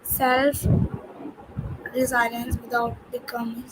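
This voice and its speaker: Woman, high pitch, monotone, halting questions, noisy ambience. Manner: halting, stumbles and restarts words. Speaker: student interviewer. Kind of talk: reading prepared interview questions.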